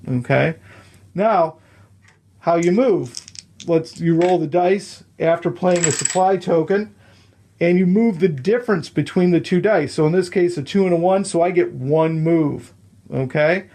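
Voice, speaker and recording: A man's voice: on the high side, a little slow, warm tone. A man explaining the rules of a board game step by step, counting moves aloud and checking in with the listener.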